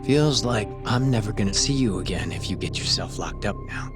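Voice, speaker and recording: A man playing a guarded, sardonic shady underling, talking in a blunt, deadpan way in a game cutscene. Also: lowish voice, moderate pace, over music. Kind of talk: video game cutscene acting.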